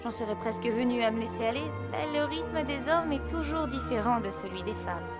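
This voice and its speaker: A woman acting a high-voiced, bold young heroine. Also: lively intonation, brisk, over loud music, close to an everyday voice. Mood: dreamy, enamoured. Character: bold, curious young woman journalist and singing student, 1888 Paris. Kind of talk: French audio drama acting with first-person narration.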